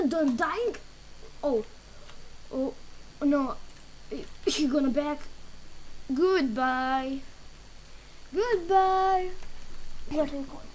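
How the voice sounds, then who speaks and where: Woman, English, fairly high voice, very slow halting delivery, casual game commentary, faint background noise. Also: a little mellow, low-quality recording. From Serbia. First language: Serbian. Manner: halting, broken English with many pauses and fillers. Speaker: gaming channel creator.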